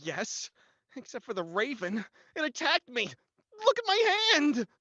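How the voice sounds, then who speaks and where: Male actor as anxious, weak-willed radio-horror accomplice: sharp, melodramatic, wildly varied pitch, dull dry recording. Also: huge pitch swings, a little slow, dry recording, full character voice. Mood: fearful. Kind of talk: 1940s radio horror acting.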